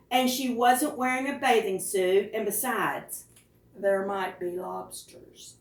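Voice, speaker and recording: Animated woman reading aloud, lively pitch swings. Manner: animated, deliberate phrasing, asks the children questions. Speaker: children's librarian, storytime host. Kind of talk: expressive read-aloud of picture books for preschoolers. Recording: roomy, echoing, distant microphone.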